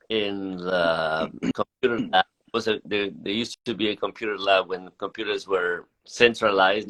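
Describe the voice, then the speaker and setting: A man's voice: lowish voice, fairly bright tone, rough recording. A man hosting a casual interview, often hesitating and rephrasing as he asks his questions. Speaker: interview host, festival artistic director.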